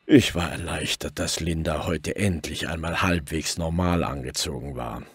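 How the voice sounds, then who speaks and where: An actor playing a weary, awkward middle-aged police detective who narrates and speaks in an audio drama. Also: male voice, extremely low pitch, moderate pace, a bit bright, slightly rough, no echo, exaggerated voice. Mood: reflective.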